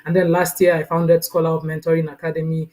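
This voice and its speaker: A woman's voice: deep voice, completely flat delivery, fast. A woman in her thirties with a Nigerian accent, speaking as a guest over a video call in a chatty, friendly way. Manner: warm, chatty, laughs often, says "right?". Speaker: guest, mentoring coach. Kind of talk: casual interview talk.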